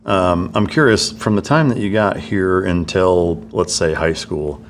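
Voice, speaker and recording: Podcast host guy, really low voice, rambly questions, faint hiss behind. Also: unhurried. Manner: long, rambling questions with many fillers. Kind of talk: podcast interview.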